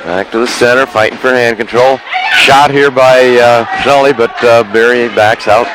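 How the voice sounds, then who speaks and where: A man's voice: medium pitch, steady tone, moderate pace. A man giving live radio sports commentary from a school gymnasium, describing moves in short, technical fragments. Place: high school gymnasium during a live meet. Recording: very noisy live gymnasium crowd recording. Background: loud crowd and gym noise.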